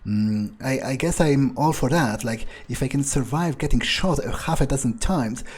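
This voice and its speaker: A jaded new vampire with a criminal streak improvised by a male player in a game roleplay. He mutters in a husky, mid-pitched voice, halting, sardonic and foul-mouthed. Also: close to an everyday voice. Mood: dazed musing.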